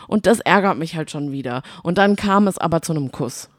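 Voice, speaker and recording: A woman's voice: deep voice, expressive, a little fast, no echo, clean studio sound. A woman co-hosting a casual podcast, chatty and teasing in manner. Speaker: podcast co-host.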